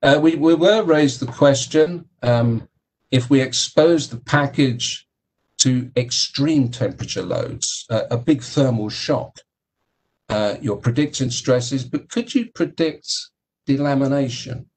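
A man giving a technical keynote talk about engineering, speaking in a halting way with many fillers and repeated words. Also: medium pitch, a little mellow, slight background noise. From United Kingdom. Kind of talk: technical conference presentation.

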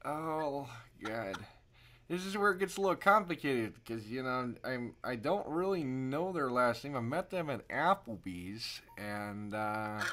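Rambling man with animated, swooping pitch. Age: 30s. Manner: rambling, hesitant, feigning awkwardness. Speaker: prank caller and livestream host. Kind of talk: improvised prank call, casual chat.

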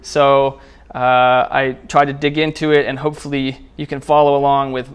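A man presenting at a programming meetup in a casual, conversational, somewhat halting way as he walks through a live demo. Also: medium pitch, level tone, smooth. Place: indoor meetup room, speaking before a small group.

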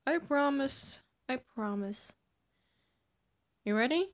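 Gentle sly scientist guy done by a woman, slowish, coaxing, highish voice, comic dub. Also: animated.

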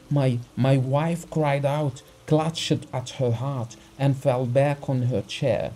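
A man reading aloud and voicing a frantic middle-aged gentleman who pleads breathlessly for help. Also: medium pitch, pitched above the performer's own voice, poor audio.

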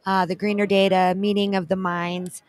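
A middle-aged woman co-hosts a wrap-up from an expo booth set, speaking into a handheld mic. Her voice sits at a middle pitch, and she talks in a bright, promotional way full of thank-yous and shout-outs. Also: little pitch movement, normal speed. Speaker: co-host. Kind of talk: event wrap-up chat.

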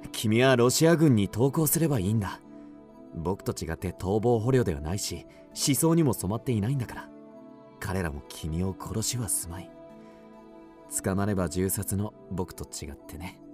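An actor playing a gentle, educated, protective young soldier, calm and explanatory, in a radio drama. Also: male voice, on the low side, normal speed, soft background music, natural voice. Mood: reassuring, serious. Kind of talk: Japanese radio drama acting.

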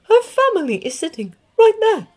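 A woman voicing a stern older female professor who speaks in a low, grave and measured way. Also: big pitch swings, a little mellow. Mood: somber.

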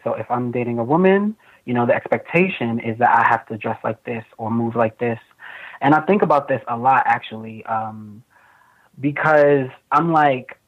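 A young man with a fairly high voice, speaking thoughtfully as an interview guest with many fillers. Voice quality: relatively high voice. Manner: thoughtful, frequent fillers, laughs easily. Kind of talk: casual conversation. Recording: tinny phone-like video call audio.

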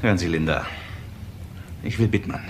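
A man's voice: deep voice, normal speed, natural voice. A man acting a driven, stubborn, blunt police detective in a German crime radio drama. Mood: pressing, menacing. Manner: insistent, blunt. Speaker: actor. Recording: noisy, roomy radio drama recording.

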